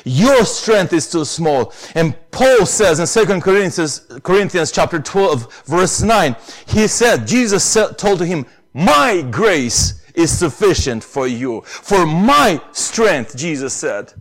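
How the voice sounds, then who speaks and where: Romanian-accented preacher with a high voice. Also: male voice, very animated, slow, hardly any pauses. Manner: earnest, rises to emphatic stresses on key phrases. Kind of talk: sermon.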